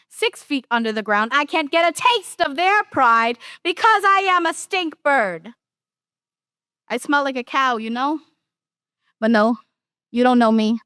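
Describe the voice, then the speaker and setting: A woman's voice: sits high, fairly bright tone, no room sound, clean studio sound. A young woman, a student poet, giving a dramatic first-person reading of a poem. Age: young adult.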